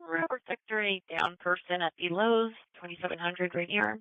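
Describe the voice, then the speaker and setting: A woman's voice: fairly low pitch, expressive, normal speed, creaky, dry recording. A woman dispatching police over two-way radio, giving locations and short descriptions. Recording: tinny narrow scanner radio feed.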